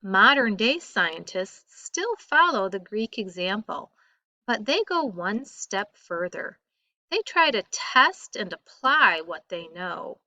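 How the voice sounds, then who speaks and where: A woman teacher reading a school textbook aloud in a deliberate, emphatic way. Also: mid-range voice, big pitch swings, bright, forceful tone, no room sound.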